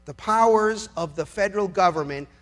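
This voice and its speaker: High-pitched man making an emphatic speech. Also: normal speed, fairly bright tone. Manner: emphatic, incredulous, rhetorical. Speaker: lawyer giving a public talk. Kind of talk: persuasive political speech on law, with anecdotes and rhetorical questions.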